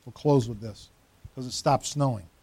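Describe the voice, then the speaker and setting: A man's voice: medium pitch, normal speed, dark tone, husky, no room sound. A pastor in his fifties giving a conversational sermon, teasing members of the congregation by name. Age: 50s. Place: church.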